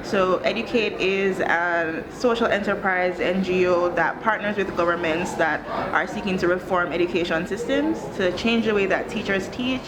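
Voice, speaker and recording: A woman from Jamaica in her thirties, an education director answering interview questions in a thoughtful, articulate, measured way. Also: lowish voice, a crowd in the background. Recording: background noise.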